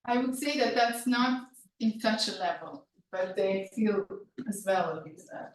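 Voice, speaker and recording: A woman whose first language is Hungarian, presenting her research and answering questions, often pausing with fillers and restarting. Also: medium pitch. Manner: hesitant, many fillers and restarts. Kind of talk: academic talk and Q&A. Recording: echoey room, speaker far from mic, faint noise.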